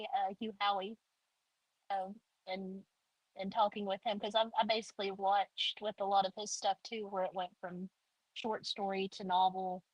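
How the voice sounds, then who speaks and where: A woman with a mid-range voice, a guest author on a podcast, answering in a relaxed and hesitant way with plenty of pauses and fillers. Her voice is recorded dry and close. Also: medium pitch, no echo, dull audio. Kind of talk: casual interview answers.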